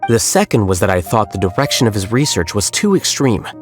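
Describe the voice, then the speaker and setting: An actor playing an aloof, blunt young scholar who speaks in a dry, matter-of-fact way in a game cutscene. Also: male voice, on the low side, big pitch swings, brisk, soft background music. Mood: cool.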